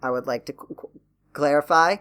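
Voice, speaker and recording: A man's voice: fairly high pitch, normal speed, a bit bright, clear, resonant tone. A man hosting a podcast, talking casually about video games in a wry, rambling way and often clarifying himself. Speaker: podcast host. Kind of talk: casual video game chat.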